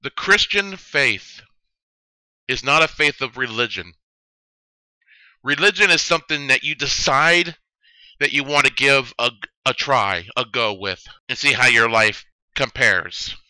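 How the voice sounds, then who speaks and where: A man in his late thirties giving a solemn religious testimony, slow and preaching, with sudden stressed words. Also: medium pitch, bright, forceful tone, clear, resonant tone, no room sound, poor audio. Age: 30s. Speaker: video testimony speaker. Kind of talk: earnest religious testimony monologue.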